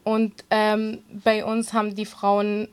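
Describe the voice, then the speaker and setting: A woman with a Kurdish accent, Kurdish her first language, answering interview questions in an earnest, halting way with many fillers. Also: mid-range voice, monotone, clear, resonant tone. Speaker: interview guest, swimming instructor.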